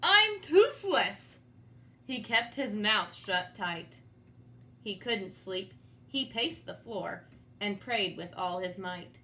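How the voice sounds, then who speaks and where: A woman's voice: medium pitch, slow pace, sharp tone. A woman reading a storybook aloud for children in a dramatic, teacherly manner, with asides to young listeners. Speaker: storybook reader. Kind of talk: expressive read-aloud for children.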